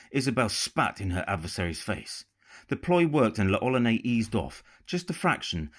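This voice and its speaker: A man reading a novel as a dramatic, measured and expressive audiobook narration. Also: lowish voice. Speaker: author reading own novel.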